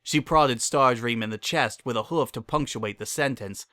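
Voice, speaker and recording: Bright-voiced man narrating a story. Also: medium pitch, moderate pace, dry recording, clean studio sound. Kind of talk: scripted dramatic story narration.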